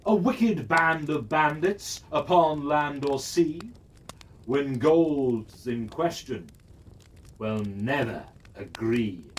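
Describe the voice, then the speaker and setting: A storyteller reading a rhyming fairy tale for children in a measured, sing-song cadence. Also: mid-range voice, expressive. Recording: distant mic, faint hiss.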